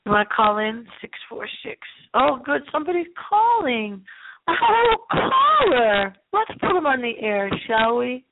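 A woman hosting a paranormal call-in internet radio show in a playful, theatrical, rambling style with drawn-out dramatic delivery. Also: high voice, sing-song. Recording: lo-fi phone-like line with hiss.

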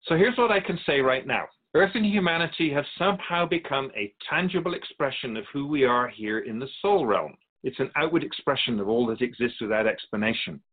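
A man playing a calm, wise, otherworldly keeper of the soul realm in a radio drama, speaking in a measured, gently lecturing way. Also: mid-range voice, lightly acted. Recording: tinny phone-like sound.

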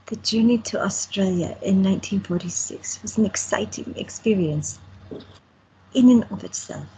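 A woman's voice: medium pitch, normal speed, mellow, a little hiss or hum, close to an everyday voice, poor audio. A woman playing an elderly woman who addresses the press and then proposes to her wife. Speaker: cast member in an audio drama. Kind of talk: amateur audio drama acting.

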